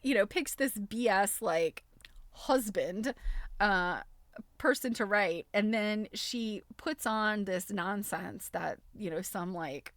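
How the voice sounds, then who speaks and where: A woman with a mid-range voice reads aloud as a streaming host. Her delivery is expressive and amused, moving between bookish narration and casual, chatty asides. The sound is dry, with very little room in it. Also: medium pitch, slow, dry recording. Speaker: host of a live reading stream.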